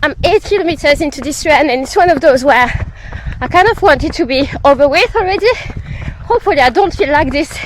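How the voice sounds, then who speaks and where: Congested high-voiced woman on a run. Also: animated, fairly quick, faint music behind, rough recording. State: congested with a head cold, mid-run. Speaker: running vlogger. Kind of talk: talking while out on a run. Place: outdoors on a run.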